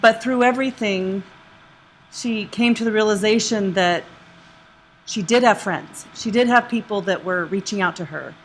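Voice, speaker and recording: A woman's voice: mid-range voice, normal speed, a little hiss or hum, low-quality recording. A woman in the audience sharing her reflections casually. She speaks earnestly and rambles a bit, using many fillers. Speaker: audience member.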